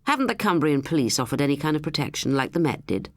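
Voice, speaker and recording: A restless, suspicious biker, played by a woman narrator in a suspense audiobook. The man's voice is pitched down low and comes out scornful and snapping, in a dry recording. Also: big pitch swings, fast, no room sound. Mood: incredulous.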